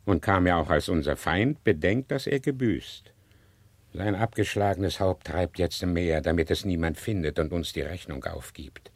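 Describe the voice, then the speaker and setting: An actor playing a wary, grave old father in a classical radio play, measured and declamatory. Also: sits low, dry recording. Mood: grave, consoling.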